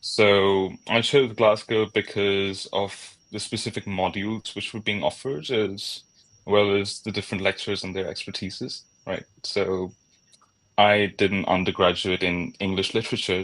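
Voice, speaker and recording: Young guy from india, low deep voice, friendly, on a video call. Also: little pitch movement, moderate pace, fairly bright tone, poor audio. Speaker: student panelist.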